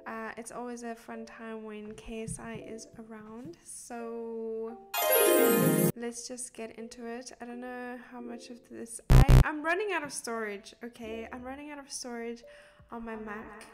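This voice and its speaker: A young woman hosting a casual reaction video. Her voice is fairly high and her pace is unhurried. She comes across as easily amused, speaking into a desk mic. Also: steady tone, loud music behind the voice. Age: 20s. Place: small indoor room. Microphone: desk microphone up close.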